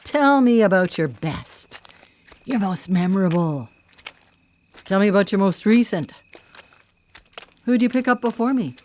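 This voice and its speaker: A woman's voice: medium pitch, expressive, measured pace, pauses often, darkish tone, close to an everyday voice. An actress in a live radio drama playing a vengeful female vigilante killer, mocking, cocky and coolly menacing. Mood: mocking, probing. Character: vengeful, taunting female vigilante killer who hunts predators.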